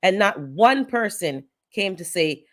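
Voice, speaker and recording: A woman's voice: medium pitch, expressive, slow, fairly bright tone. Woman, casual livestream host, congested and stuffy, talkative storyteller, easy laughs, mid-range voice. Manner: chatty storyteller, laughs often, greets chat by name. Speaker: gardening channel host. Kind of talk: casual livestream chat with viewers.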